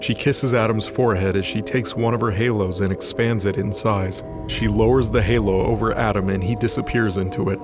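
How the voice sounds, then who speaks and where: A man's voice: lowish voice, steady tone, fairly quick, few pauses, music in the background. A man narrating a scripted fantasy audio drama.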